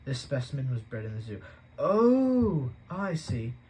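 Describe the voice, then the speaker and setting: A man voicing a gentle, curious young boy who talks softly and asks questions. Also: medium pitch, very animated, unhurried, husky, pitched above the performer's own voice, heavily acted. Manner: soft, curious.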